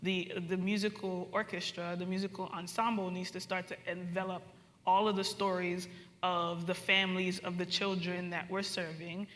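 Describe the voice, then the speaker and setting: A woman from Baltimore, born in Trinidad and Tobago, speaking as a panelist in a measured, deliberate, earnest way. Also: on the low side, level tone, fairly bright tone. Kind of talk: panel discussion. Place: conference hall. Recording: echoey hall with long reverb.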